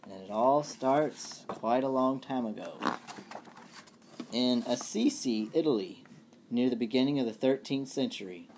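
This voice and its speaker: A storyteller reading a children's story aloud for all ages, playful and expressive, with asides to the listeners. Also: medium pitch, a little slow, slight background noise.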